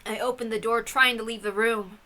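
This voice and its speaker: A woman giving a dramatic, theatrical narrated reading of a story in an expressive manner. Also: fairly high pitch, a little fast. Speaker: reader of a visual novel.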